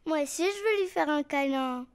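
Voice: high-pitched, normal speed, background noise. A performer voicing a bossy, pouty little girl bear cub in a preschool cartoon, childlike and know-it-all. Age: child character. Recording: faint music behind the voice.

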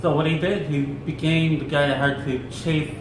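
A man's voice: a little high, normal speed. Joking young man, noisy echoey room. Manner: joking, relaxed, opinionated. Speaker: co-host. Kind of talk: casual chat. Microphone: camera a few feet away. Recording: echoey room with clear background noise.

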